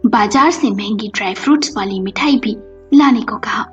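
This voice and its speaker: A woman's voice: medium pitch, normal speed. A woman narrating a scripted story as a storyteller. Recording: dull lo-fi audio with music behind. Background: music.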